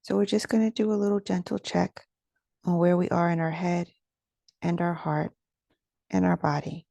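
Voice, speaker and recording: Mellow, low-voiced woman guiding meditation. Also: flat delivery, vocal fry, dry recording. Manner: gentle, soothing, instructional, with soft pauses. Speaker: guided meditation teacher and host. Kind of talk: guided meditation narration.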